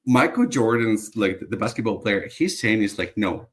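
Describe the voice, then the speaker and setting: A man's voice: on the low side, lively intonation, moderate pace, a bit bright. A man from Bulgaria, a guest entrepreneur, talking in a reflective and earnest way, with frequent restarts. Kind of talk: casual conversational interview.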